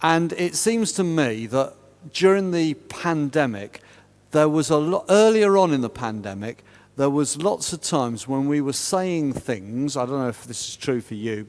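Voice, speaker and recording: Booming man chairing a panel in a church. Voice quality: big, booming voice. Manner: chatty, self-deprecating asides. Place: large parish church. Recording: long reverb of a big church.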